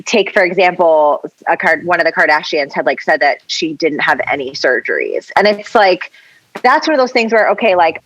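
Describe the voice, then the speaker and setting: A young woman with a raspy, hoarse voice, a podcast guest talking candidly in a chatty way full of filler words. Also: fairly low pitch, a bit bright, dry recording, highs cut off. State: hoarse from vocal cord injury. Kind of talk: casual interview chat.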